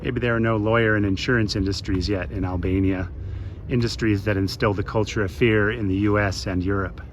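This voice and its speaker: A man in his late fifties from the west coast of the United States, narrating a travel vlog in a measured, reflective way. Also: fairly low pitch, fairly even intonation, a little hiss or hum. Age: 50s. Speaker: travel vlogger. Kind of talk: scripted voice-over narration.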